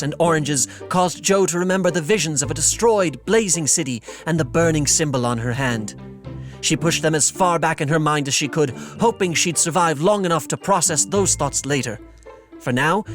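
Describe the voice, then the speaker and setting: A man's voice: fairly high pitch, animated, moderate pace, fairly bright tone. A man narrating a scripted audio drama as its storyteller. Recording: music behind the voice.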